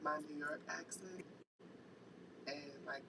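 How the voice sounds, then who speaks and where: A woman's voice: low-pitched, fairly even intonation, slow pace, vocal fry, far from the mic, background noise. A playful, giggly woman in casual chat with friends. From Richmond, Virginia, US.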